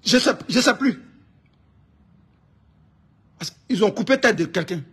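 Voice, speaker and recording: A man with an Ivorian accent speaks in a high-pitched, raspy-edged voice. He rants informally about his views in an indignant, emphatic way, often hammering a phrase by repeating it. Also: animated, a little slow, fairly bright tone. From Ivory Coast. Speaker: online political commentator. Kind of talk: informal political rant to camera.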